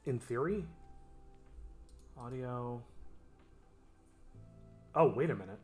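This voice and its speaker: A man thinking aloud in casual, self-questioning, sometimes profane commentary while he edits his animated show. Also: medium pitch, takes it slowly, soft background music.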